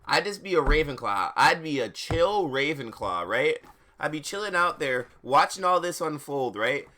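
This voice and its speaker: A man live streaming, switching between dramatic reading and casual, slangy commentary, often halting, repeating words and sounding exasperated. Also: on the high side, big pitch swings, bright, forceful tone.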